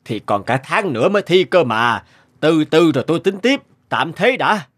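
An actor playing a blunt, wary middle-aged farmer and father in an animated family drama, with a gruff manner. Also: on the high side, normal speed, exaggerated voice. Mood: firm. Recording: music behind the voice.

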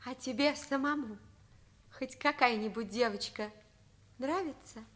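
An actress playing a sharp-tongued, coquettish teenage schoolgirl in a Soviet radio play, with a haughty manner. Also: on the high side, a little slow. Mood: pert.

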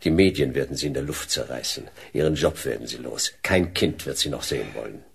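A man's voice: a bass voice, animated, brisk, gravelly, breathy edge, full character voice. An actor playing a hard-boiled, stern male detective in a radio drama, speaking in terse, dry, clipped phrases. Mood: cold, sardonic. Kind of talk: radio drama narration and dialogue.

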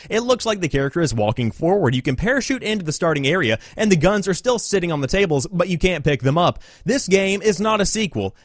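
A man's voice: a little high, no echo, clean studio sound. A man reading written reviews aloud in an emphatic, dramatic comic style. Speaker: video presenter reading user reviews.

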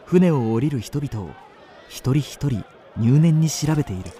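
A man playing a gentleman who tells his own story in a dramatic radio play. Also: mid-range voice, lively intonation, unhurried, some chatter behind, slight background noise, close to an everyday voice. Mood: composed. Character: dramatic young gentleman narrator on the run. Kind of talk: first-person radio drama narration.

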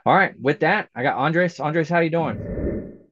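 A man's voice: a little high, a little slow, slightly rough, no echo, muffled recording. A man in his forties hosting a podcast interview over a video call, upbeat and chatty. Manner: upbeat, chatty, animated when promoting. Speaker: podcast host. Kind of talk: casual interview. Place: indoor room with a virtual backdrop. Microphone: webcam video call.

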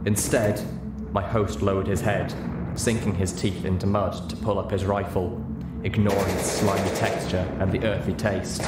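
A man's voice: low voice, heavy background noise. A man reading scripted story narration, in the manner of an audiobook. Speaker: audiobook-style reader, show host.

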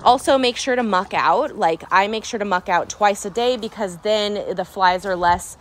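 A woman's voice: mid-range voice, moderate pace. A young woman from San Diego, chatting enthusiastically in a casual vlog about caring for her horses at an outdoor barn. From San Diego, California. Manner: chatty, enthusiastic, repeats "super important". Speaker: horse owner vlogger.

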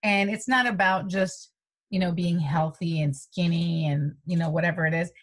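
A woman's voice: fairly low pitch, moderate pace. Woman, middle-aged, from the US, health coach guest, casual rambling talk, webcam call from a home room. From United States. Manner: rambling, lively, many "you know" fillers, frequent laughs. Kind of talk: casual video-call interview. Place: small room at home, indoors. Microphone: webcam on a video call.

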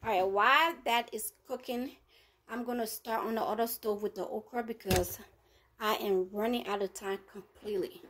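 A woman's voice: medium pitch, fairly even intonation, normal speed, a bit bright, slight background noise. A woman chatting casually to her viewers while she cooks in her home kitchen, upbeat and talkative. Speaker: cooking vlogger. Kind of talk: casual cooking chat.

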